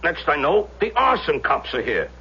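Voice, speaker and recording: Chatty, gravelly gas station guy. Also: on the high side, wildly swooping pitch, normal speed, bright, forceful tone, full character voice. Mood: chatty, eager. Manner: folksy, colloquial. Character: chatty, streetwise male gas station owner. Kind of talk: 1970s radio drama acting. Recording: dull, noisy old radio recording with hum.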